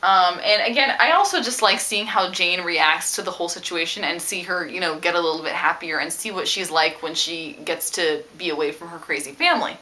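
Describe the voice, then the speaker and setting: A woman's voice: on the low side, brisk, few pauses, sharp tone, poor audio. A woman book vlogger talking casually to her viewers in a chatty, analytical, emphatic way. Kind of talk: casual book discussion to camera.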